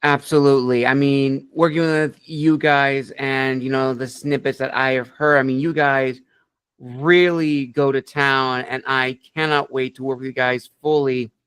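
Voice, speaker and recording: A man's voice: mid-range voice, fairly bright tone, clear, resonant tone, no room sound. A man hosting an interview show, asking long questions and praising his guest with enthusiasm. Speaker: podcast interview host.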